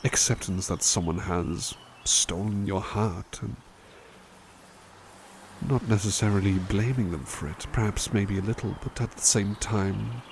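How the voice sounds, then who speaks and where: A man's voice: on the low side, measured pace, creaky, a little hiss or hum. A man playing a kind, courteous butler who reads poems aloud and muses on them in a formal, polite way for an ASMR roleplay. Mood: musing. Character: kind, courteous male butler reading to listener.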